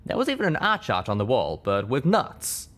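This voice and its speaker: A man's voice: medium pitch, huge pitch swings, moderate pace, a bit bright, voice pushed up. A man voicing an easygoing, chatty male pegasus pony with folksy talk in an audiobook story. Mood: amused musing.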